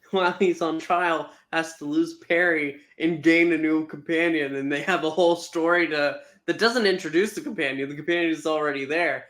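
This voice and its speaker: A man's voice: on the high side, steady tone, normal speed, fairly bright tone. Chatty, wry podcast co-host, a man. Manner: chatty and wry, laughs mid-sentence, hesitant fillers. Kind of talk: casual review chat.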